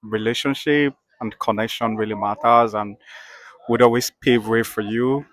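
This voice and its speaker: A man's voice: medium pitch, steady tone, normal speed, a bit bright, no echo. A man from Nigeria, an MBA graduate, introduces himself hesitantly. He uses many fillers, and the sound is dry and close.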